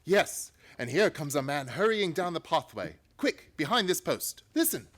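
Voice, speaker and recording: An actor playing a shrewd, commanding gentleman detective with a precise manner in a radio play. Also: on the high side, very animated, normal speed. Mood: urgent, commanding. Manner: precise, decisive, authoritative.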